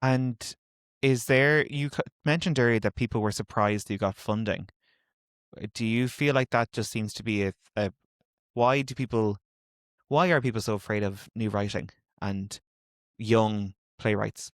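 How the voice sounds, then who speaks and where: A male podcast host with a slightly low voice asks his questions haltingly, circling back and rephrasing as he thinks. The recording is dry and close. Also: no echo. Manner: searching, hesitant questions with many restarts. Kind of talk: conversational interview.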